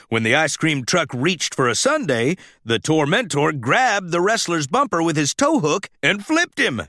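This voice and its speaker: Male storybook narrator for children, higher-pitched, very expressive, unhurried, close dry sound. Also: no echo. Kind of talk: animated scripted read-aloud narration for children.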